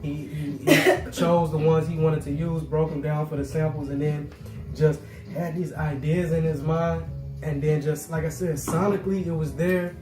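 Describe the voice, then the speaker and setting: A man's voice: a little high, steady tone, normal speed, reverberant, over music, low-quality recording. A man co-hosting a casual chat, giving rambling, thoughtful opinions full of fillers. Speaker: co-host.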